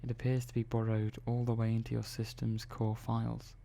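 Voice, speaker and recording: Man voicing a calm robot engineer, ASMR roleplay, dark mellow tone, flat delivery, hesitant pauses. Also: medium pitch, gravelly, slight background noise, lightly acted. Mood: calm, methodical. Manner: soft-spoken, slow and hesitant, many pauses and "well"s. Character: calm male robot engineer, methodical and secretive.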